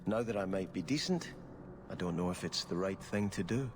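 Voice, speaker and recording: An actor playing a devout, conflicted young exiled prince in a video game, with a formal, confessional manner. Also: male voice, sits low, brisk, a little hiss or hum. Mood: earnest, reflective. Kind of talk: video game cutscene acting.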